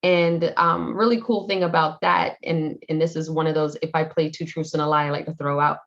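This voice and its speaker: A woman in her forties speaks with a fairly low voice, telling her life story as a podcast guest. She is animated and playful, often acting out what she once said. The sound is muffled and lo-fi. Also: brisk, vocal fry. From Jamaica, raised in Brooklyn, New York. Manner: animated, playful, acts out her past self in quotes. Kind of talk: casual interview storytelling.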